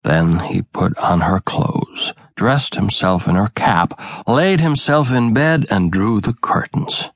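Slow, gravelly male fairy-tale narrator. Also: on the low side, no echo. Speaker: audiobook narrator.

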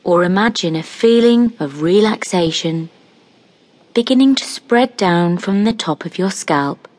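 Woman, meditation narrator, fairly low pitch, very slow pace, calm, soothing, long pauses. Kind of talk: scripted guided meditation, hypnotic relaxation narration.

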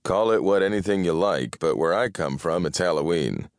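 A sardonic male detective from a fantasy audiobook, acted by a man. He talks low and dry, with an irritable edge. The recording is close, with little room sound. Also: lively intonation, moderate pace, no echo. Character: sardonic, grumpy male detective who bets on horses.

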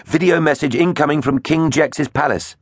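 A man's voice: low voice, normal speed, dry recording, studio quality. A man voicing an anxious, alert female dinosaur crew officer in a children's story, with a worried, urgent manner.

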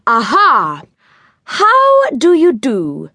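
A woman's voice: sits high, huge pitch swings, drawn-out words, sharp tone, husky, no echo, pitched above the performer's own voice, full character voice. A woman voicing a jolly, round-faced little magic man in a children's audiobook, hearty and very courteous. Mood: jolly politeness.

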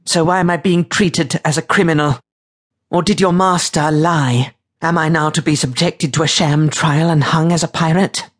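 A man's voice: fairly high pitch, moderate pace, breathy edge, voice pushed up. A man voicing a proud, sardonic woman freebooter captain in an audiobook, with a lofty, clipped delivery. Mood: indignant, lofty. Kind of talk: audiobook character voice.

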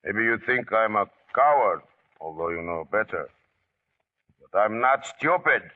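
A sullen Spanish guerrilla chief, gruff and bitter, fond of drink. He talks with mocking menace in 1940s radio acting style. The sound is narrow and tinny. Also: on the low side, animated, a little slow.

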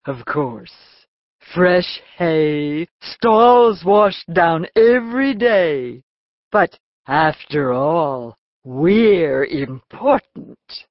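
A woman's voice: sits low, lively intonation, drawn-out words, no room sound, lo-fi sound, exaggerated voice. A woman playing a snooty, irritable milk cow in a children's audiobook, pompous and condescending, drawling her words. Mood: haughty. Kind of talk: full-cast children's audiobook acting.

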